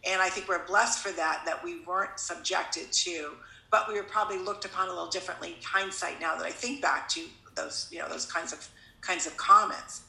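A woman's voice: on the low side, steady tone, fairly quick, gravelly, bright, forceful tone, reverberant, low-quality recording. A woman in her fifties from Connecticut, talking earnestly in a casual conversation, rambling and often restarting her sentences. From Connecticut, USA.

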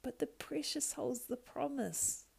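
A woman reading a storybook aloud and voicing a wretched, greedy male creature who argues with himself in hissing whispers and high whines. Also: a little slow, vocal fry, heavily acted. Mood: arguing with himself. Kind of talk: audiobook character voice.

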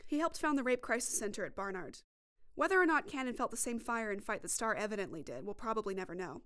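A woman's voice: a little high, lively intonation, fast talker, fairly bright tone, clean tone. A woman reporter reading scripted narration for a podcast documentary.

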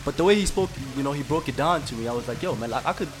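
A man's voice: medium pitch, animated, rapid-fire, music in the background. A man, co-hosting a podcast in reflective casual chat. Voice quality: mellow voice. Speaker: podcast co-host.

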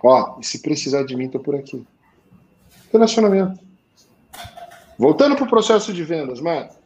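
Male sales coach, Portuguese accent, blunt and animated, fairly high voice. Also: normal speed, lo-fi sound, a little hiss or hum. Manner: blunt, animated, slangy, swings to loud emphasis. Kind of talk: live talk show advice.

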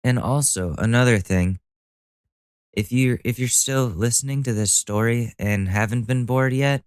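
A man's voice: medium pitch, measured pace, clean tone, no room sound, clean studio sound. A young man hosting a solo podcast, talking casually with a stuffy nose, rambling and joking at his own expense with the odd swear word. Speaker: podcast host.

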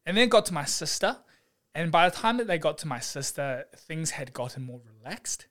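A young man, a physiotherapist guest chatting casually on a podcast, relaxed and quick to laugh, with plenty of fillers. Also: fairly high pitch. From New Zealand. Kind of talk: casual chat.

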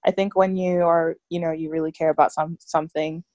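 Low, level-voiced young woman from Nigeria. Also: little pitch movement, a little fast, a little mellow, no room sound. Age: young adult. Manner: reflective, frequent fillers like "like" and "you know". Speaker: interview guest, student activist. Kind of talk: casual interview conversation.

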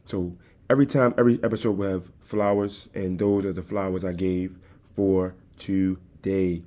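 Male podcast host, calm and easygoing, mellow low voice, desktop mic. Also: moderate pace. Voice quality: calm, soothing voice. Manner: easygoing, addresses listeners directly. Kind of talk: casual solo podcast talk. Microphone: desktop USB microphone.

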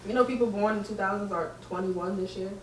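A woman's voice: mid-range voice, quick pace. A woman in her twenties in a casual group chat, speaking in a plain, matter-of-fact way. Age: 20s. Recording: roomy, distant mic, faint noise.

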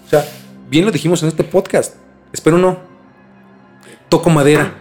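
A man with a Mexican accent hosting a casual podcast, telling stories informally and haltingly with repeated words. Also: fairly high pitch, fairly even intonation, darkish tone. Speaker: podcast host. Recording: music under the voice. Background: background music.

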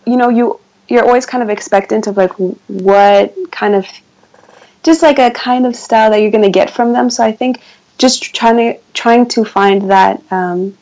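Woman, soft voice, fairly high pitch, hesitant, casual interview guest. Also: normal speed, low-quality recording. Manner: hesitant, full of fillers. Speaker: worship singer and guest. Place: near her piano.

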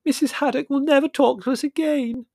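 A man voicing a credulous, dramatic young daughter who speaks in earnest, emphatic drawn-out words. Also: sits high, fairly even intonation, no room sound, studio quality, pitched above the performer's own voice. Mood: angry, wailing.

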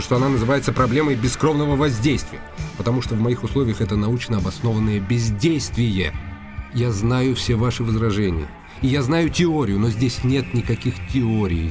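A male actor as an impassioned young nobleman in a radio play, with a lowish voice that drives forward quickly. He is earnest, impatient and insistent, and music plays clearly behind him. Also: close to an everyday voice. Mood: bitter, frustrated. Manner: earnest, insistent, formal address. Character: passionate young nobleman, a secret observer, indignant and impatient. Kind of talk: radio drama acting.

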